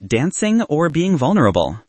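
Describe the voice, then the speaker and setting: A man acts out a capable young bridesmaid in a romance audiobook. She is brisk, bossy and playful, with animated pitch and a husky edge, recorded dry. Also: dry recording. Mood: teasing.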